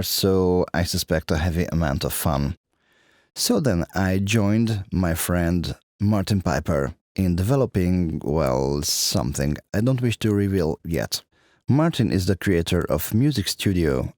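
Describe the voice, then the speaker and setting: A man with a Hungarian accent giving a casual video update in measured, plain-spoken, non-native English. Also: low-pitched, very animated, a little mellow, no echo, clean studio sound. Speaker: musician and video channel host.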